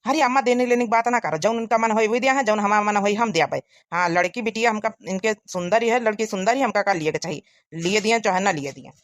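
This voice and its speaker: A woman's voice: medium pitch, quick pace, dry recording, studio quality, lightly acted. A woman voicing an eager, smitten young village son with an Awadhi accent in a comedy cartoon. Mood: smitten, insistent. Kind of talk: rural comedy cartoon dialogue.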